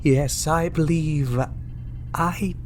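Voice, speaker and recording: Guy doing alien ambassador, real slow n halting, smarmy courteous, sci-fi audio play, hummy noisy recording. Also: a little high, fairly even intonation. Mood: oily politeness. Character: polite, evasive alien ambassador with oily courtesy. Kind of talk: sci-fi audio drama acting. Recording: clear background noise with hum.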